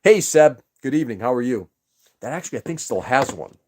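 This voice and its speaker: A man's voice: mid-range voice, lively intonation, brisk, darkish tone, a little hiss or hum. Chatty man hosting a hobby livestream. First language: Greek. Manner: rambling, chatty, greets viewers by name. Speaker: livestream host. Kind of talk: casual livestream chat.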